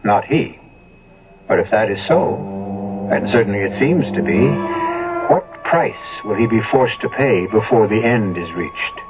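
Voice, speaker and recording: A man narrating a 1970s radio drama as host, ominous, formal and measured. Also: fairly low pitch, wildly swooping pitch. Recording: thin, phone-like old radio recording. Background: music.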